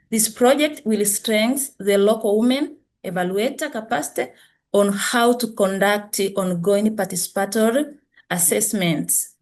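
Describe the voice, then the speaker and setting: A woman reads through her project presentation over a video call. She speaks haltingly, stopping to fix words midway, in a mid-range voice. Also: medium pitch, darkish tone. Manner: halting, with self-corrections.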